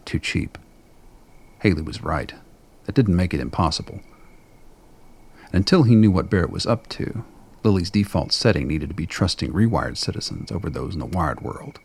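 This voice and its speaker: A male story narrator with a remarkably deep voice. It is full of fry and rasp as he reads scripted dramatic prose. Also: a little mellow. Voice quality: gravelly, husky.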